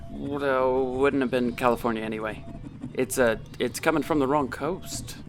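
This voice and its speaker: A man's voice: mid-range voice, fairly even intonation, normal speed, music in the background, close to an everyday voice. An actor playing an easygoing male technician in an audio drama, talking casually and a little hesitantly. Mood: focused, puzzled.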